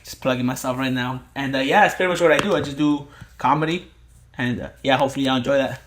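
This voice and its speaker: A man's voice: mid-range voice, quick pace, fairly bright tone, slight background noise. A man in his twenties from Mexico, chatting casually as a podcast guest and stuttering often over repeated words. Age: 20s. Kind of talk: casual chat.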